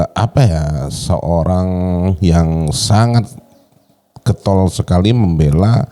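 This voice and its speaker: A man hosting unscripted commentary in a measured, halting way, often searching for his words. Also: very deep voice, dark tone. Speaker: commentary channel host.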